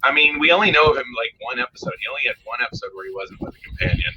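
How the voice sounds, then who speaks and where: A man with a medium-pitched voice talks casually and emphatically as a panel guest, repeating points for stress. The group-call recording has clear noise behind him. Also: lively intonation, racing. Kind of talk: casual opinionated chat.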